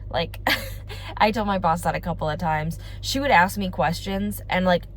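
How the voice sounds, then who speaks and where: A woman's voice: on the low side, normal speed, slight background noise. A young woman with a congested voice, chatting casually and rambling to her vlog audience. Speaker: family vlogger. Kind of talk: casual chat to camera.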